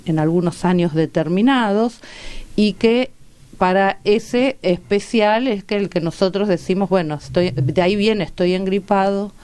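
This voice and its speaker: A woman with a Rioplatense Argentine accent and a smooth, clean voice at an average pitch. She speaks as a medical guest in a radio interview, explaining things in a didactic, conversational way and checking in with little tag questions. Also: warm tone. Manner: didactic, checks in with rhetorical tags. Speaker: guest doctor and medical researcher.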